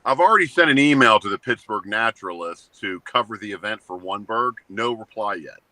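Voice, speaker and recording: Blunt bright-voiced man in his seventies. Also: lowish voice, a little fast, dry recording. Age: 70s. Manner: blunt, joking, rants in short flat statements. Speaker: co-host. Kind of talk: casual chat.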